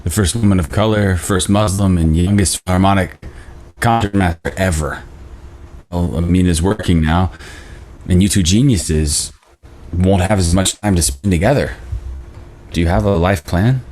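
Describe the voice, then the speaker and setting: A man's voice: deep voice, normal speed, pauses often, a little mellow, lightly acted. A man playing a kind, concerned blue-collar father in his thirties at a script table read, earnest and a little hesitant. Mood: polite concern.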